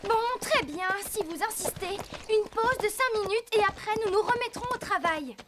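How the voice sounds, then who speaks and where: A woman's voice: very high-pitched, animated, normal speed, noisy background. A woman voicing an earnest, responsible teenage girl who organizes her friends insistently in a dubbed cartoon. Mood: commanding. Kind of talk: French cartoon dub.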